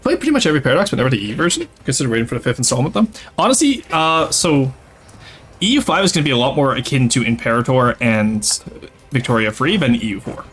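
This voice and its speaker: A man's voice: mid-range voice, very animated, quick pace, a bit bright. A young French Canadian man with a light Québécois accent, chatting casually with his viewers while playing a strategy game. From Quebec, Canada. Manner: chatty, reads and answers chat while playing. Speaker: streamer. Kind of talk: casual gameplay livestream chat.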